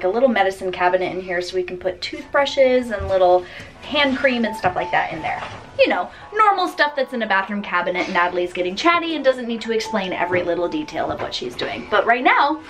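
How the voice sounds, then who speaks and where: A woman's voice: medium pitch, expressive, brisk. A young woman chatting upbeat and enthusiastic to a handheld camera as she cooks and fixes things around her house. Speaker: homemaking vlogger. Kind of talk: casual chat to camera. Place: home kitchen and small bathroom. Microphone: handheld camera at arm's length.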